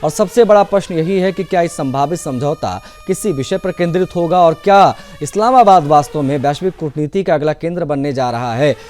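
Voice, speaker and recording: Man, news presenter, slightly high pitch, formal emphatic scripted narration, faint background music. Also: brisk, few pauses, darkish tone.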